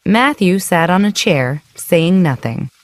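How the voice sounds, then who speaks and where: A woman's voice: fairly low pitch, expressive, a little slow. A woman narrator reading a scripted children's story. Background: music.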